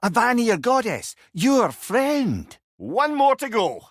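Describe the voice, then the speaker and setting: A man's voice: very high voice, huge pitch swings, slow, dry recording, clean studio sound, exaggerated voice. An older man with a Scottish accent playing a wry, eloquent old companion in a video game. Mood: brisk, animated. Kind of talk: video game character dialogue.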